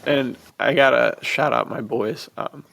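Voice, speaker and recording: A man chatting casually and enthusiastically as a podcast host, in relaxed bro slang peppered with "dude". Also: medium pitch, measured pace, vocal fry.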